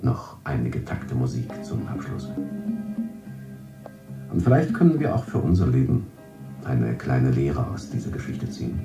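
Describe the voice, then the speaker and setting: Radio play role: suave male announcer, extremely low, swooping pitch, roomy sound, music underneath. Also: wildly swooping pitch, unhurried, creaky, long pauses, exaggerated voice. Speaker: narrator, announcer. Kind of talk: 1970s radio play narration, mock show host. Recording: roomy old radio recording, distant.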